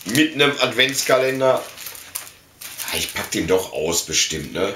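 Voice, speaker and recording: A man's voice: fairly low pitch, animated, unhurried, pushed and bright. A man in his forties from Germany, chatting in a colloquial, slangy, enthusiastic way as a vlogger showing off collectibles. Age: 40s. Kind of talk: casual unboxing chat. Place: small indoor room full of collectibles. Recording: echoey room with faint noise, clicks.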